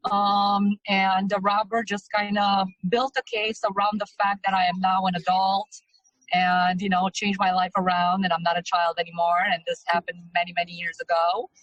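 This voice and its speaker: Woman in her 30s, from Romania, casual interview guest, mid-pitched voice, dry close sound. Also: monotone, moderate pace, a bit bright, dry recording, muffled recording. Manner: chatty, loose, hesitant with frequent fillers.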